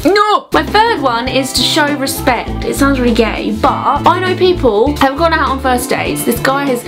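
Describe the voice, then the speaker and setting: Young woman, informal vlogger chat, rambling advice with music clearly underneath. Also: high voice, animated, moderate pace. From England. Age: young adult. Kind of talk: casual chatty advice vlog. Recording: music playing behind the voice.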